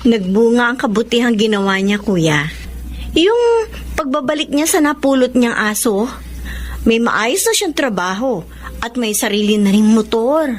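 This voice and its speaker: A kindly, moralizing woman in a radio drama. Her high, lively voice is earnest, over faint noise and ambience. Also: unhurried. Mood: earnest, approving. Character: kindly, moralizing younger sister or neighbor woman.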